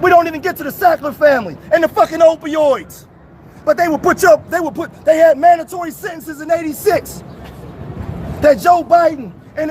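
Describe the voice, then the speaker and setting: Philly man, protest orator, loud and profane, outdoors with light street noise. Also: very high-pitched. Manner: shouting, emphatic, profane. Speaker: protest speaker. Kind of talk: impassioned street speech, then street chat.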